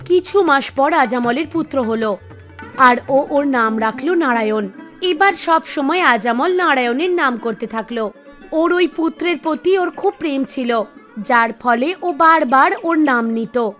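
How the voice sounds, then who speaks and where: A woman reading scripted narration for an animated devotional tale, with music behind her. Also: high-pitched, a little slow. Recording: music bed under the voice. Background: background music.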